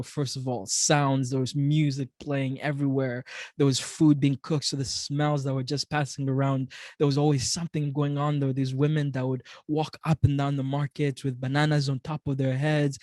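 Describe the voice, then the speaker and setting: A man's voice: medium pitch, little pitch movement, normal speed, no room sound, studio quality. A young man from Congo, a native Swahili speaker, giving a motivational talk as a storyteller, often repeating words as he goes. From Democratic Republic of Congo. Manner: warm storytelling with frequent repeated words and chuckles.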